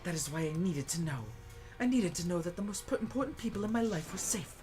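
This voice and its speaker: A woman playing a dramatic high school student in a comedic anime game fandub, with theatrical delivery. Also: animated, fast, warm tone, soft background music, natural voice. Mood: earnest.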